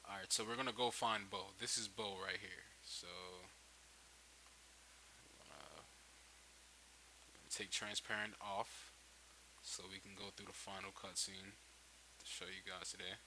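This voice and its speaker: A man giving a casual, off-the-cuff software tutorial, repeating and restarting his phrases as he goes. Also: low-pitched, measured pace, pauses often. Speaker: tutorial host. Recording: hissy home microphone recording. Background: steady hiss.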